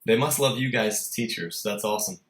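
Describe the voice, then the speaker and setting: Man, slightly low voice, interview host, conversational questions, roomy echo. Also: brisk. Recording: roomy, echoing room sound.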